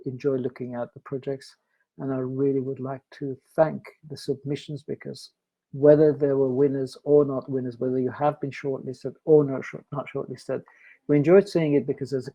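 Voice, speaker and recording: A man speaking semi-formally as a jury member, in a halting way with repeated phrases. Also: medium pitch, flat delivery, creaky, warm tone, poor audio. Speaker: competition jury member presenting results. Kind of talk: semi-formal spoken remarks.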